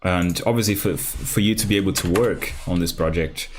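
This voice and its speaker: A man from Brazil, Portuguese his first tongue, hosts a relaxed programming livestream and talks through the setup. His voice sits very low, and he keeps trailing off and restarting his sentences. Keyboard typing and a faint hiss can be heard behind him. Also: normal speed. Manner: casual, rambling with many fillers and restarts. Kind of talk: live coding tutorial, thinking aloud.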